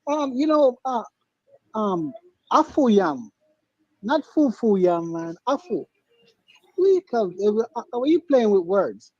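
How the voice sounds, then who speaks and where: A man's voice: sits high, sing-song, slow, long pauses, dark tone, no room sound, muffled recording. A man from Jamaica with a Jamaican accent, speaking insistently and proudly in a live online debate and repeating his points.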